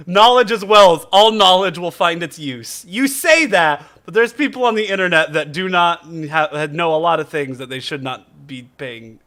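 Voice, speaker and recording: Excitable, high-pitched male game streamer. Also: measured pace. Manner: excitable, effusive, exclamatory. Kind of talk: comedic live gameplay commentary.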